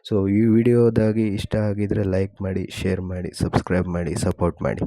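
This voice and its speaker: A man's voice: low voice, fairly quick, warm tone, no room sound. A man hosting an informal tech explainer, addressing viewers and going over details step by step. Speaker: host of a tech explainer channel.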